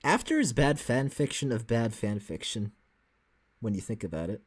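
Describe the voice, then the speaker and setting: Casual man co-hosting with mid-pitched voice. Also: very animated, normal speed, breathy edge. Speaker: reaction co-host. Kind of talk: casual reaction commentary.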